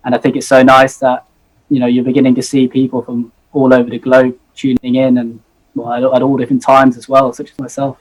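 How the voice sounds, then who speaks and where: A man's voice: medium pitch, monotone, brisk, low-quality recording. Earnest young man on video call. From Hong Kong. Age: 20s. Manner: earnest, eager, many ums and uhs. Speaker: zoology student guest. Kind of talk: live video-call interview.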